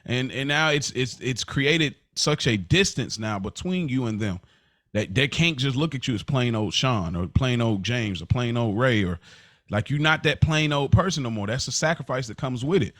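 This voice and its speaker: A man co-hosting a podcast, talking in a relaxed, rambling way with many restarts. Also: medium pitch, moderate pace, dry recording, studio quality. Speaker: podcast co-host. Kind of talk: casual chat.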